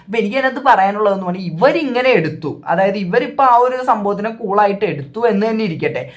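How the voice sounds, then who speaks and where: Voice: medium pitch, animated, fast talker. A reaction video host with a Kerala accent, giving opinionated, repetitive casual commentary.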